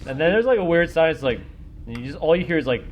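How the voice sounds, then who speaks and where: A man with a fairly high, very animated voice runs a tabletop game. He narrates casually as game master, improvising scenes. There is faint noise in the background. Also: fast talker, lo-fi sound. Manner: casual, conversational.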